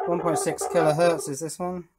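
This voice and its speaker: A man's voice: on the high side, level tone, moderate pace, loud music behind the voice. A man giving a casual narration of hands-on electronics work, thinking aloud and putting questions to himself and his viewers. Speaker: electronics hobbyist presenter.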